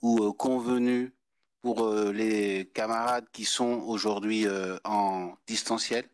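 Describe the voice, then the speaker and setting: A man chairing a public meeting, speaking formally in wordy, halting phrases. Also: fairly low pitch, steady tone, dry recording, clean studio sound. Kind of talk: formal meeting address, semi-improvised.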